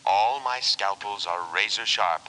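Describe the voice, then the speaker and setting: A deep, piercingly bright robot voice, officious and coolly menacing in its care. It speaks in precise, unemotional sentences, as in 1950s sci-fi radio drama, on a slightly hissy old recording. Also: male voice, measured pace, low-quality recording. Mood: calm menace. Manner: formal, precise, unemotional delivery. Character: calm, officious war robot boat, menacingly solicitous.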